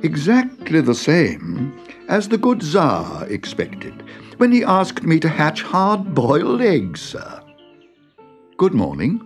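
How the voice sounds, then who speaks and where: Male narrator doing a wise farm girl, composed, slightly higher voice. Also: takes it slowly, faint music behind, heavily acted. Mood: sly. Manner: calm, reassuring, sly. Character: clever, calm young peasant girl. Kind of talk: storybook reading.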